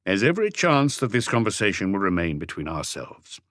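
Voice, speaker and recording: A calm police inspector from an audiobook mystery, read by a man. His voice sits on the low side and is recorded very dry. He probes patiently with terse, measured questions. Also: dry recording. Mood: probing, patient.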